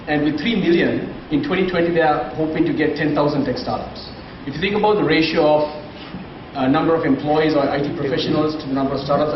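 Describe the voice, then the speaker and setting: Guy moderating a panel, quick talker, far off mic, dull lo-fi sound. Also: a little high. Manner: brisk, frequent tag questions. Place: conference room. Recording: dull, roomy, distant, noisy.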